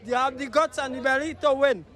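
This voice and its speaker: A man's voice: extremely high pitch, fairly even intonation, a little fast, loud music behind the voice. A talkative man with a Trinidadian accent, chatting casually as a street interviewee and wandering off the question. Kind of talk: rambling casual chat on the street. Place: outdoors on a street.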